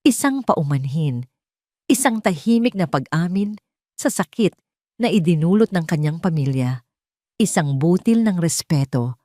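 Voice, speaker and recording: A woman's voice: lowish voice, mellow, dry recording, studio quality. A woman storyteller reading scripted dramatic narration for an audio romance story.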